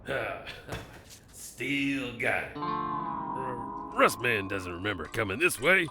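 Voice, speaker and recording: An actor playing a grizzled, folksy old survivor with a gravelly voice, who talks of himself in third person in an audio drama. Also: male voice, medium pitch, sing-song, slow pace. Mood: muttering, grumpy. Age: older. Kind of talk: dramatised comic voice acting. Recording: music bed behind the voice.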